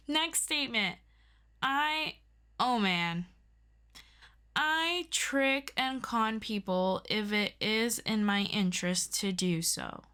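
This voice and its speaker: A woman's voice: on the high side, extremely slow, pauses often, pushed and bright, smooth, exaggerated voice. A woman acting as an earnest, chatty superhero best friend in an ASMR roleplay, rambling and hesitant with affection. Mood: playful, thoughtful.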